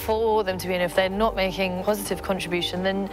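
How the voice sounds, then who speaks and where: A woman's voice: medium pitch, fairly even intonation, quick pace. A woman giving considered opinions as an interviewee. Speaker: street interviewee. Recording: music behind the voice.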